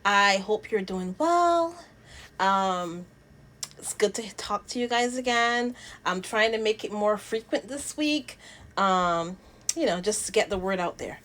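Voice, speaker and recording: A woman from Barbados hosting a casual news commentary vlog, talking and reading aloud in a halting way, repeating words for emphasis. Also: mid-range voice, lively intonation, a bit bright. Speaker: host of a royal news commentary channel.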